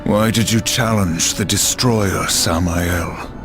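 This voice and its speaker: Game hero, grim dark warrior: very low, slow, stern, terse, music behind. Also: male voice. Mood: accusing. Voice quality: deep, heavy. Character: grim, stoic male apocalyptic horseman warrior. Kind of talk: video game cutscene acting.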